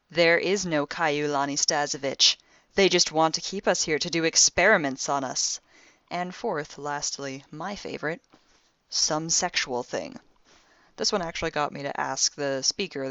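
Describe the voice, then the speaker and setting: A dull, close recording with very little room sound carries a young crewwoman's personal log from a sci-fi audio drama, acted by a woman. Her voice sits low. She is wry and sardonic, delivering her exasperation in a deadpan, conversational way. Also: no echo.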